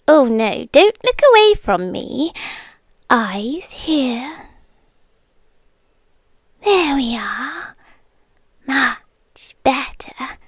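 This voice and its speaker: A woman's voice: sits high, no room sound, full character voice. A British woman acting out a possessive, ancient supernatural girlfriend in an ASMR roleplay, teasing and smug, with intimate, menacing delivery that often drops toward a whisper. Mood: teasing, commanding. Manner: slow, intimate, taunting, often dropping to a whisper.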